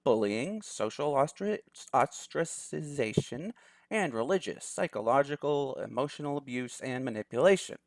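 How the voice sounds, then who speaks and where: A man's voice: mid-range voice, expressive, moderate pace, creaky, no echo, studio quality. A young man hosting a let's play, chatting casually and reading a visual novel's story text aloud. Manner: chatty, laughs easily, thinks aloud.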